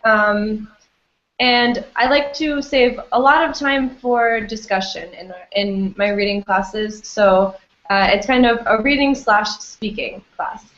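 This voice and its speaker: A woman's voice: medium pitch, slow pace, fairly bright tone. Woman teacher, echoey lo-fi sound. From United States. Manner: friendly, many fillers like um and you know. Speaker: English teacher. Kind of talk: online class teaching. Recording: echoey, dull lo-fi audio.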